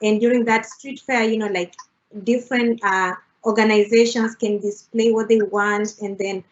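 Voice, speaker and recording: A woman's voice: mid-range voice, steady tone, moderate pace, slight background noise. Woman, fillers, muffled call audio. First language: Swahili. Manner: many fillers, leans on "you know, like". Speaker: international student panelist. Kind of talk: panel discussion answer.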